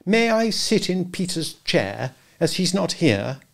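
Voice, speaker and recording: A man's voice: high voice, big pitch swings, slow, gravelly, fairly bright tone, pitched above the performer's own voice, exaggerated voice. A man voicing an eager boy who grumbles about the others. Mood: grumbling.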